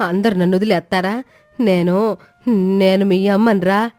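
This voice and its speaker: A woman's voice: medium pitch, fairly even intonation, unhurried, a little mellow, dry recording, faint music behind, lightly acted. Haughty scheming mother-in-law played by a woman. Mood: shocked. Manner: defiant, scornful, evasive.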